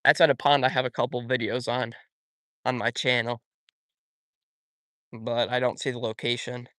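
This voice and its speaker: A young man chatting loosely while he fishes by a pond, rambling from one thing to the next and answering his viewers as he goes. His voice sits at an ordinary middle pitch, and the recording is dry and close with no room sound. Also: little pitch movement, normal speed, fairly bright tone, no room sound. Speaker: fishing YouTuber. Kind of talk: live-stream chat while fishing. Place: outdoors by a pond.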